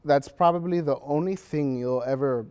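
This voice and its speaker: A teenage male student actor speaking casually in an interview, haltingly and with repeated restarts. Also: fairly high pitch, a little mellow, clear, resonant tone. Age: teens.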